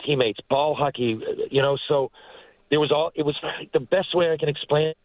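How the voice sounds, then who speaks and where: A man's voice: a little high, moderate pace, a little mellow, no echo, poor audio. Heartfelt rambling man in his forties. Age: 40s. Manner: heartfelt, rambling, many restarts. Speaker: guest, retired hockey player. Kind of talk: casual interview talk.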